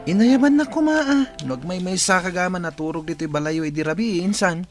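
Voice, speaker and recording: Man acting a high-voiced protective cousin, music behind. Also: lively intonation, brisk. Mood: reassuring, teasing. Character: protective, easygoing male cousin looking after relative. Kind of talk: audio drama acting.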